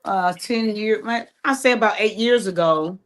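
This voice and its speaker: A woman guest on a podcast from Ohio, talking in a rambling, halting way with many fillers. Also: medium pitch. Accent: American English, Ohio. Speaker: guest, playwright. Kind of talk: casual interview talk.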